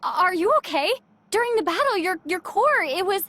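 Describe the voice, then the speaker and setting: Earnest, high-voiced young woman. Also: lively intonation, moderate pace, sharp tone, a little hiss or hum, heavily acted. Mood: shocked, concerned. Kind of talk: video game character acting. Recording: faint music behind the voice.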